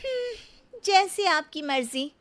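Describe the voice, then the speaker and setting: A woman's voice: extremely high pitch, big pitch swings, slow, a bit bright, pitched above the performer's own voice, exaggerated voice. A woman voicing a spoiled, snobbish young daughter-in-law in a cartoon story, with a haughty, complaining manner. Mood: dismissive.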